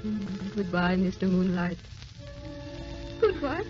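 An actress playing a gentle, longing, ever-young wife in vintage radio drama style. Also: female voice, mid-range voice, big pitch swings, takes it slowly. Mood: pleading, wistful. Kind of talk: 1930s radio drama acting. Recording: dull hissy vintage radio recording with music.

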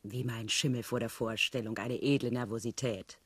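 An actress playing an ironic, poised newlywed wife who needles and probes in a radio play. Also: female voice, rumbling low, fairly even intonation, moderate pace, fairly bright tone, breathy edge, exaggerated voice. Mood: teasing. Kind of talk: German radio play acting.

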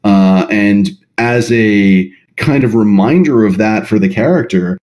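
A man's voice: lowish voice, darkish tone. A man answering as a podcast guest, explaining things at length in a thoughtful, hesitant conversational way. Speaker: podcast guest, role-play writer.

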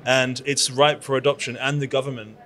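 A man in his thirties with a London-bred British accent, speaking with eager, chatty energy, full of fillers and restarts. He talks into a handheld mic at a conference booth. His voice sits at an ordinary middle pitch. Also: flat delivery, brisk, a bit bright. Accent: British English. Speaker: conference founder and PR agency owner. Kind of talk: conference interview. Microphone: handheld microphone.

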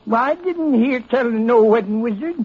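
An actor playing a nosy country village loafer in a 1940s radio drama, speaking folksy rustic dialect. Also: male voice, very high voice, lively intonation, measured pace, breathy edge, full character voice. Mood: nosy teasing. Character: nosy rural village man, teasing store loafer. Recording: dull, noisy old radio recording.

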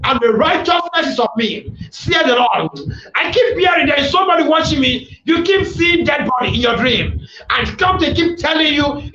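A man preaching a fiery sermon, shouting forceful, repetitive prayer declarations as a preacher. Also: very high voice, far from the mic. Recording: echoey, dull, noisy room recording.

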